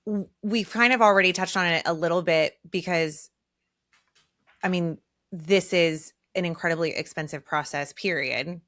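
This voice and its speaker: Low-voiced woman hosting a podcast. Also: moderate pace, fairly bright tone, no room sound, clean studio sound. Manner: engaged, affirming, asks long framing questions. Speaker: podcast host. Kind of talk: casual conversational interview.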